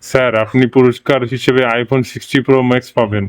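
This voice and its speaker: A man's voice: medium pitch, fairly even intonation, normal speed, clean tone, no room sound, close to an everyday voice. A man playing a polite scam caller who poses as a company agent, with a Bangladeshi accent and a formal, explanatory manner. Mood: patient, persuasive. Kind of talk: scripted prank phone call dialogue.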